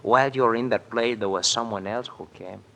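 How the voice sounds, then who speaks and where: An actor playing a deferential, cautious Mexican informant in a radio drama, with a Mexican accent and halting, polite English. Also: male voice, mid-range voice, gravelly, a bit bright. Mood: wary, obliging. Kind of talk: 1950s radio drama acting.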